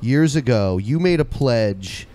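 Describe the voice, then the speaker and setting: A man's voice: mid-range voice, lively intonation, takes it slowly, warm tone. A man co-hosting a podcast in casual, conversational style. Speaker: podcast co-host. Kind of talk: casual chat, show promotion.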